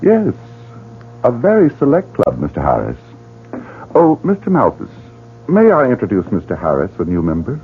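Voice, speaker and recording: A man's voice: mid-range voice, huge pitch swings, normal speed, pauses often, slight background noise. A man playing a suave, sinister club director in an old radio drama, teasing and theatrical. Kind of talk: 1940s radio drama acting. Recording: phone-like old radio broadcast.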